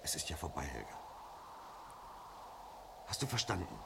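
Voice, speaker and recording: Gravelly, anguished police inspector. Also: male voice, very deep voice, very animated, moderate pace, heavily acted. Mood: shaken, soothing. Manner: urgent, intense, anguished. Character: male police chief inspector, desperate, protective husband. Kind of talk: 1970s German radio crime drama acting. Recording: noisy 1970s radio play recording.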